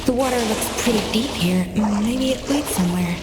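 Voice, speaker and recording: A woman's voice: fairly high pitch, moderate pace, natural voice. A woman playing a poised, stern young female chef in a video game, assertive and indignant in manner. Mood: exasperated, determined. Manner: scolding, incredulous, assertive. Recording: game music behind.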